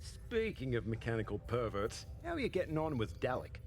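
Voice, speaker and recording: A man's voice: medium pitch, huge pitch swings, a little fast, slight background noise. A man with a British accent voicing a cheeky, blunt starship captain in a video game, casual and wry. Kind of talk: scripted video game voice acting.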